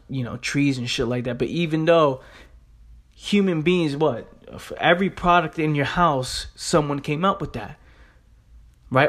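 A young man giving an informal motivational monologue in a blunt, streetwise, emphatic way, punctuated with rhetorical questions and casual swearing. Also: medium pitch. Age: young adult. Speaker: motivational speaker.

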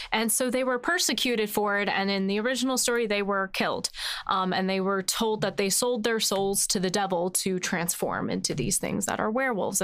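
A woman's voice: mid-range voice, a bit bright, clear, resonant tone, dry recording, clean studio sound. A woman hosting a casual behind-the-scenes chat as a writer, talking informally with lots of "like" and "you know". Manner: chatty, informal, says "like" and "you know" often. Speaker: host, series writer.

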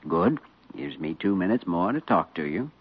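An actor playing a patient, good-natured young doctor with a reasonable, soothing manner in a 1940s radio drama. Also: male voice, low voice, big pitch swings, normal speed, husky, exaggerated voice. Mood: amused. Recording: noisy, dull old radio recording.

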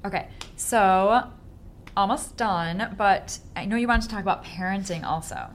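A woman's voice: mid-range voice, unhurried, a little hiss or hum. A woman with a Chicago accent hosting a casual interview, earnestly restating her point until it lands. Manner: earnest, repeats herself to make a point clear. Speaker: podcast host.

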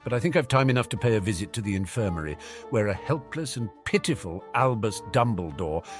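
A man voicing a cold, hateful young dark wizard who speaks with menace and spite. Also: lowish voice, animated, brisk, soft background music, lightly acted. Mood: menacing.

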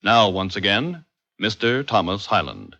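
A man's voice: lowish voice, very animated, measured pace, gravelly, sharp tone. A man announcing in formal, emphatic old-time radio style. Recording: muffled old radio recording.

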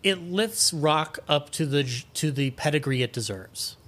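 Guy w a kinda high voice on a podcast, really into his opinions, keeps restarting sentences, emphatic. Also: animated, a bit bright. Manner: emphatic, enthusiastic, halting restarts mid-thought. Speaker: podcast guest. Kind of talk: casual opinionated chat about music and film.

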